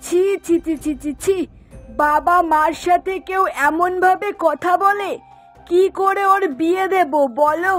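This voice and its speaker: A woman's voice: very high-pitched, steady tone, unhurried, a bit bright. A woman voicing an exasperated middle-aged mother in a cartoon, complaining and scolding with emphasis. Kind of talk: Bengali cartoon voice acting. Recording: music behind the voice.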